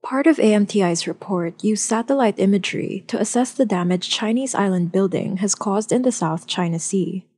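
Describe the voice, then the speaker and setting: A woman hosting a news podcast, reading a scripted narration in a measured way. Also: mid-range voice, a little mellow, breathy edge. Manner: measured, script-read delivery.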